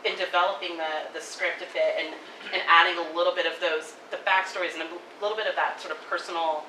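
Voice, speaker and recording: A woman giving quick, animated spoken feedback at a live table reading, thinking aloud with lots of "like"s. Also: lowish voice. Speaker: audience member giving feedback. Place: event room. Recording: echoey room, distant mic, faint noise.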